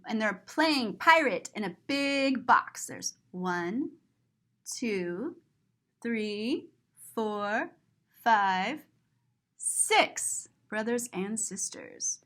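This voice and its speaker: A woman's voice: a little high, animated, very slow, fairly bright tone. A woman reading a picture book aloud to young children, describing it in simple, repeated words with lots of expression. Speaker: storyteller, teacher.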